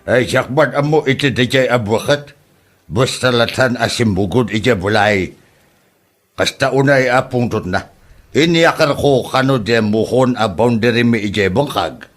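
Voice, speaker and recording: A man's voice: medium pitch, unhurried, lightly acted. Man playing an exasperated older Ilocano village father. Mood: aggrieved. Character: aggrieved older village father accused over a land boundary. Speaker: actor in a comedy drama. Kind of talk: Ilocano comedy drama acting.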